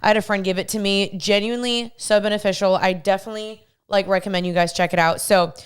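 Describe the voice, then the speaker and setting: Podcast host: young woman in her twenties, smooth clear voice, bubbly and chatty. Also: mid-range voice. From United States. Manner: bubbly, chatty, uses lots of "like". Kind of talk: casual podcast chat and intro.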